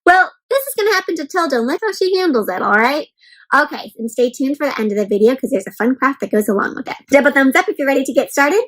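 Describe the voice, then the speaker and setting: A woman's voice: high-pitched, fast, faint music behind, low-quality recording. A woman hosting an interactive read-aloud for children, speaking expressively and encouragingly, straight to her young listeners. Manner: animated, exaggerated expression with frequent questions to young listeners; addresses listeners directly as friends, encouraging. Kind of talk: interactive picture book read-aloud for kids.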